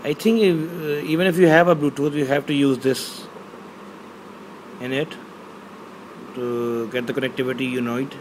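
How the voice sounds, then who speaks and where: A man's voice: medium pitch, fairly even intonation, normal speed, long pauses, noisy background. A man, an Urdu speaker, casually unboxing a product on a handheld phone camera indoors, often hesitating with fillers. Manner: hesitant, many fillers, reads box labels aloud. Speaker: unboxing reviewer. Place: indoors, small bedroom.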